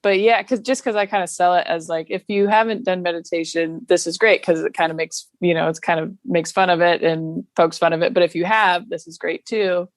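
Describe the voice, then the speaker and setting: A woman's voice: fairly low pitch, steady tone, a little fast, hardly any pauses, no room sound. A woman comedian chatting casually as a podcast guest over a video call, laughing often between her points. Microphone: remote video call mic.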